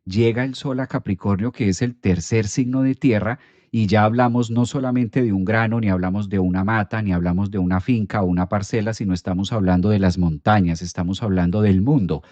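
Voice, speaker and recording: Man, low mellow voice, dry close sound, calm explanatory astrology talk. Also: a little fast, no room sound, muffled recording.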